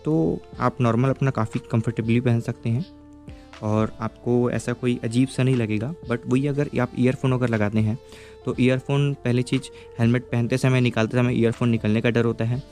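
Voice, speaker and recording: Guy with a soft warm voice walking you through a gadget review, chill and patient, faint music behind. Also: mid-range voice, fairly even intonation, normal speed. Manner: explains patiently, checks in with the viewer. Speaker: tech product reviewer. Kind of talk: casual step-by-step product demo.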